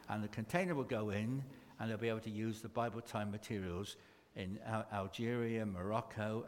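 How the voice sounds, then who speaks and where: A man with a mission group giving a chatty church talk and a children's story, halting with fillers. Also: medium pitch. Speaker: mission worker speaking at church. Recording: echoey hall reverb.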